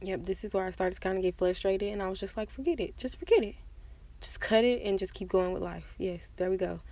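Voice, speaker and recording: Chatty woman with a gravelly edge. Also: medium pitch, fairly even intonation, a little fast, mellow. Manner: chatty, self-deprecating asides. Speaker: makeup vlogger. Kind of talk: casual voice-over tutorial.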